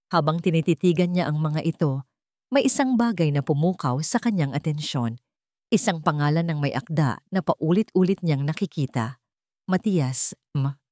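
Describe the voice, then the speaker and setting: A woman reads a scripted story as a dramatic narrator. Her voice sits on the low side and moves widely in pitch. The sound is dry and close, with no room around it. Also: mellow, no echo.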